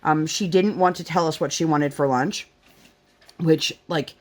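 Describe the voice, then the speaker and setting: A rambling, chatty woman with a slightly low voice tells family stories while she crafts at home. She fills her talk with fillers and slips into the voices of the people she quotes. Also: steady tone, unhurried. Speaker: vlogger, mother. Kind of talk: casual storytelling chat while crafting.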